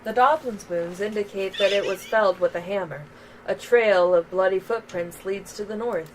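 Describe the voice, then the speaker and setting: A woman's voice: medium pitch, normal speed, slight background noise, voice pushed down, close to an everyday voice, low-quality recording. A woman reading aloud as the matter-of-fact narrator of a video game investigation.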